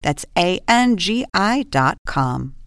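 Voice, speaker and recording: Female announcer for podcast spot: clean, resonant, slightly low voice, dry studio sound. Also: sing-song, takes it slowly, fairly bright tone, no echo. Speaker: advertisement announcer. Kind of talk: scripted podcast ad read.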